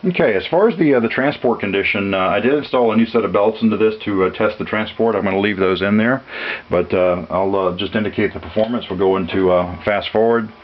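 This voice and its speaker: A man giving an unscripted technical demonstration as a seller, matter-of-fact and halting, with frequent uhs. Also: fairly low pitch. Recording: dull lo-fi audio, music behind. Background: music played from demonstration equipment.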